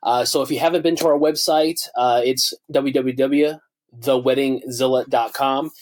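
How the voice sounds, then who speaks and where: A man's voice: medium pitch, fairly even intonation, normal speed. A man hosting a wedding podcast, enthusiastic and full of filler uhs. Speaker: podcast host.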